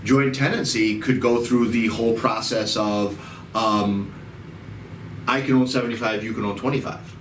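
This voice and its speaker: Chicago-area guy explaining stuff slowly, lots of repeats, kinda low voice, sounds far off in a roomy space. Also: steady tone, fairly bright tone, slight background noise. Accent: Chicago-area American. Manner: explains patiently, repeats words, restarts often. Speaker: title company host. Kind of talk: casual explanatory chat. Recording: echoey room, mic at a distance.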